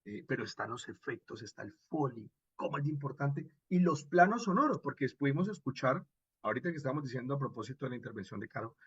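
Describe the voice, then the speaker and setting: A man with a Colombian accent and a husky voice, lecturing expressively as a radio drama instructor and checking in with short rhetorical questions. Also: mid-range voice, animated, fast, dry recording, lo-fi sound. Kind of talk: animated workshop lecture with acted examples.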